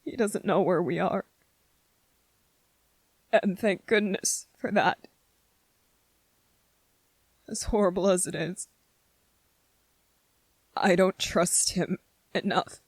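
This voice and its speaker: A woman plays an anxious young male soldier from an anime, pitched low for a woman and very slow. He halts and repeats himself as though worn down. The recording is dry and close, in the manner of an ASMR roleplay. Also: no room sound. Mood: anguished, anxious. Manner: halting, repeating words, dramatic pauses. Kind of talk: scripted ASMR roleplay monologue.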